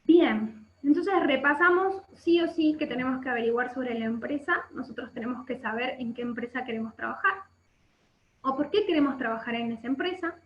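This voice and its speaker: High-voiced Argentine woman teaching online. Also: a little fast. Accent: Argentine Spanish. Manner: explanatory, with frequent check-in questions. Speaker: workshop instructor. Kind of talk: instructional webinar talk. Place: indoor room by a window. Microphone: webcam video call. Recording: muffled, echoey video-call audio.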